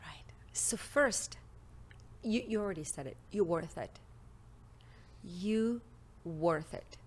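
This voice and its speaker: A woman's voice: lowish voice, slow pace, breathy edge. A woman from Israel with an Israeli accent, a native Hebrew speaker, talking as a confident, playful relationship expert guest in a studio interview. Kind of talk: interview conversation. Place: podcast studio.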